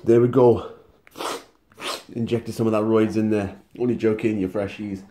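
A man's voice: fairly low pitch, steady tone, moderate pace, dark tone, reverberant. A young man, vlogging casually to his phone at home and joking in slang banter. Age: young adult. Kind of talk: casual vlog to camera. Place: home kitchen indoors. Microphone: phone camera held at arm's length.

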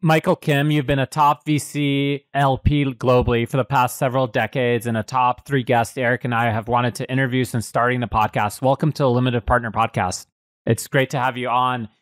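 A man's voice: medium pitch, steady tone, brisk, dry recording. A man hosting a podcast, introducing his guest and asking direct, upbeat questions into a studio microphone. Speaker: podcast host. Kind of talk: interview hosting.

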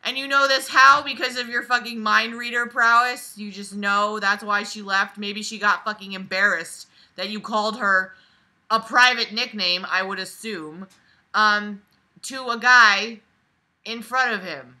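A woman's voice: mid-range voice, steady tone, unhurried, bright, forceful tone, low-quality recording. A woman in her twenties reading aloud and breaking off for sarcastic, exasperated, profane commentary. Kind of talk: mocking read-aloud with casual profane commentary. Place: small bedroom, lying on a bed indoors.

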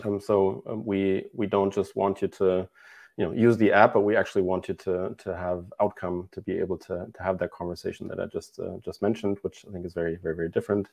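A man in his thirties with a low, gravelly voice, speaking over a video call as a company chief. He answers with frequent fillers and repeated false starts, circling back before landing his points. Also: flat delivery, fairly quick, a little mellow. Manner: hesitant, many restarts and fillers. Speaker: company CEO, guest. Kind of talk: remote interview answers. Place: bright room at home, indoors.

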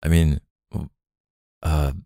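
A young man playing an easygoing, tender boyfriend in an intimate roleplay audio, speaking softly and hesitantly in casual slang. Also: a bass voice, flat delivery, slow pace, vocal fry, no room sound, clean studio sound, heavily acted. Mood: gentle, anxious.

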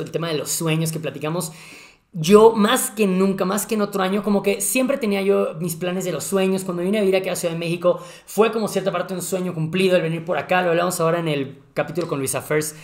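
A man of thirty with a Mexican accent, hosting a podcast and chatting casually with lively gestures and plenty of slang. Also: sits high, fast talker, reverberant. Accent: Mexican Spanish. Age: 30s. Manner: animated, gestures a lot, slangy. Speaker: podcast host. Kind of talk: casual chat. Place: living room with a fireplace.

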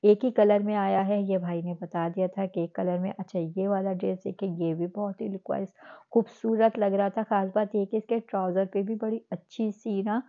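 A woman's voice: mid-range voice, fairly even intonation, normal speed. A woman with a Karachi Urdu accent chatting casually to her viewers while showing dresses in a clothing shop. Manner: chatty, addresses viewers as sisters. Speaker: shopping vlogger. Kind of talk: casual shopping walkthrough. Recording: phone-like narrow sound.

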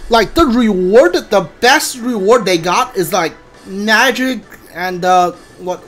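A game streamer giving casual playthrough commentary, thinking aloud in a halting way. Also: medium pitch, lively intonation, a bit bright. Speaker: gameplay streamer. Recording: game music behind the voice. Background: video game music and sounds.

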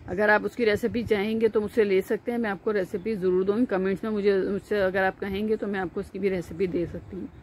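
Calm woman explaining a recipe. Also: mid-range voice, quick pace, few pauses, slight background noise. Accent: Pakistani Urdu. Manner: calm, instructive, with frequent "uh" fillers and restarts. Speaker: cooking channel host. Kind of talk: step-by-step cooking narration. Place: home kitchen, at the stove.

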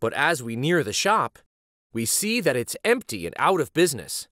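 A man in his forties reading a children's picture book aloud in an expressive, deliberate storytelling style. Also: mid-range voice, dry recording, clean studio sound. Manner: animated, deliberate storytelling delivery.

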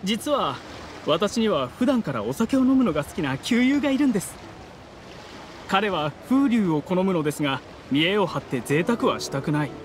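A man's voice: high voice, big pitch swings, normal speed, a little hiss or hum. A man playing a modest, courteous male merchant in a video game, speaking in a formal, explanatory way. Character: polite, modest, soft-mannered male merchant customer. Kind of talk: Japanese video game voice acting. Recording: game music behind the voice.

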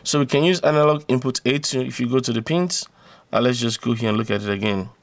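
A man's voice: mid-range voice, quick pace, no room sound. A man giving an informal electronics tutorial, explaining step by step and repeating phrases for emphasis. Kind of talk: informal explanatory tutorial, talking through a diagram.

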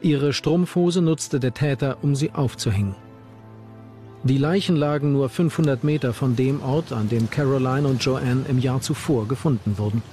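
A man narrates a documentary from a script at a medium pitch. Music plays behind his voice. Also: moderate pace, dark tone.

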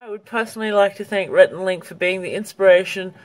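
A woman's voice: mid-range voice, fairly even intonation, quick pace, rough recording. A young woman from Australia, speaking earnestly and a little rambling in a casual fan message to camera, recorded at arm's length in a bedroom. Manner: earnest, slightly rambling with restarts. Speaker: YouTuber sending a fan message.

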